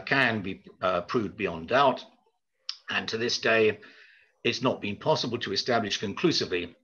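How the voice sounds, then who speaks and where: An older man lectures in a formal, measured way, with hesitant pauses. His voice is husky but bright and forceful. It comes over a slightly dull video call. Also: fairly low pitch. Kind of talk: prepared lecture.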